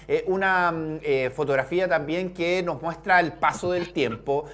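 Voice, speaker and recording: A man with a Chilean accent hosting a conversational interview, phrasing things haltingly with pauses. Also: on the high side, a bit bright. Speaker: interviewer and host.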